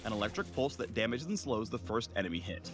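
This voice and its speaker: A man's voice: fairly low pitch, lively intonation, fast, over music. A man reading a scripted promotional game narration in a punchy, polished announcer style. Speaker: champion spotlight narrator.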